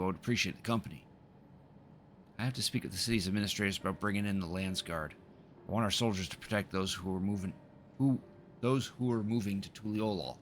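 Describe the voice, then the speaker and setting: Low-pitched man reading aloud over music. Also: a bit bright. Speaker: livestreamer playing a game. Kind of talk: reading game dialogue aloud with casual asides. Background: video game music.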